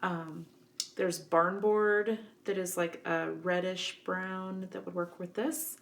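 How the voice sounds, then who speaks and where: A woman's voice: fairly low pitch, slow, vocal fry. Scratchy-voiced woman teaching a craft. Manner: relaxed, chatty, many ums. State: getting over a cold, scratchy voice. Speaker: craft instructor. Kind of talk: scrapbooking tutorial.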